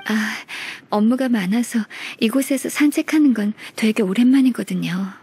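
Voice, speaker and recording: A woman voicing a gentle, modest, dutiful secretary in a video game, speaking softly and formally. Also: on the high side, moderate pace, husky. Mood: gentle, wistful. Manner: polite, soft-spoken, formal. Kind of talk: Korean video game voice acting.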